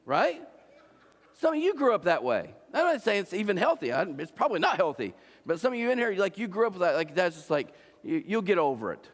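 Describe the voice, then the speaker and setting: A man's voice: high voice, sing-song, a little fast. A man in his forties from Ohio, preaching a sermon in a loose, conversational way, with restarts and frequent "right?" asides to the congregation. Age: 40s. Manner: conversational, repeats and restarts, asks the crowd "right?". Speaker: pastor. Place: church auditorium. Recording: long reverb of a large church hall.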